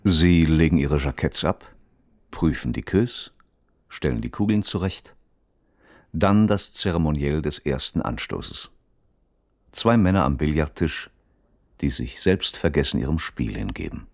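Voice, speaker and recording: Extremely deep male radio play narrator. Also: lively intonation, unhurried, vocal fry, pauses often, no room sound, clean studio sound. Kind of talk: scripted narration, documentary-like report. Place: dry studio.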